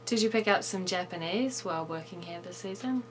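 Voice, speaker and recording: A woman's voice: lowish voice, brisk, a little hiss or hum. Woman reading short news, then interviewing. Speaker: host and news reader.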